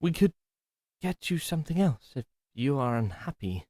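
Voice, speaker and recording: Guy doing a cheeky servant voice, lively up and down, dry close mic. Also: mid-range voice, moderate pace, no room sound, voice pushed up. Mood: eager. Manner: teasing, sing-song. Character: mocking male servant, playful.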